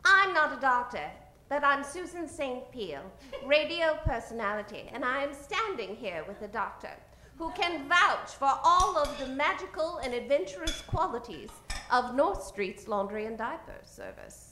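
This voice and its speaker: Radio personality female player: animated endorsement, mock ad, echo and clattering noise around the voice. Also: high-pitched, moderate pace. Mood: showy, emphatic. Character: showy radio personality hosting a product endorsement. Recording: echoey stage, noisy clatter.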